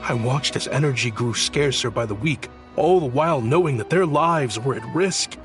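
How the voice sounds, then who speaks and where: A man's voice: medium pitch, moderate pace, close to an everyday voice. A man playing a guilt-ridden official turned petty criminal in a video game, with a pleading, theatrical delivery. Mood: anguished. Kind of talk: dramatic video game voice acting. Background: loud background music.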